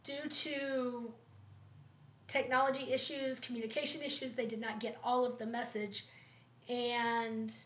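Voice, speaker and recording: Woman student presenter, slightly raspy, pitched a bit high, pauses with ums; dull, roomy home recording. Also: level tone. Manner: thoughtful, with frequent ums and pauses. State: slightly raspy from pollen allergies. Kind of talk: class presentation, leadership analysis.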